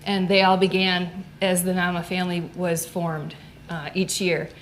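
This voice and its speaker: A woman's voice: on the low side, flat delivery, unhurried, slight background noise, poor audio. A woman, a student club advisor, giving an upbeat, fluent seminar talk in a lecture room.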